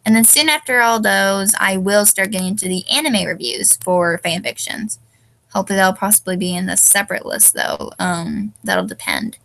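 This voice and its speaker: A woman's voice: mid-range voice, very animated, normal speed, creaky, fairly bright tone, poor audio. A young woman with a stuffy, tired voice, rambling casually as a fanfiction reviewer and often trailing off with ums. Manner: hesitant, many ums, loses her train of thought.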